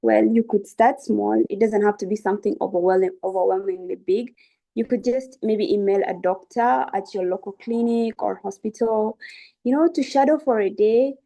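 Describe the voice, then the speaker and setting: A woman's voice: mid-range voice, normal speed, creaky, warm tone, low-quality recording. A young woman giving an informal, encouraging educational webinar talk as a student speaker. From South Sudan. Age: young adult.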